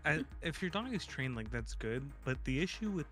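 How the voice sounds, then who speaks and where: A man's voice: a little high, very animated, normal speed, fairly bright tone. A man chatting casually as a guest, rambling with many fillers. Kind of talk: casual chat. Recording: music playing behind.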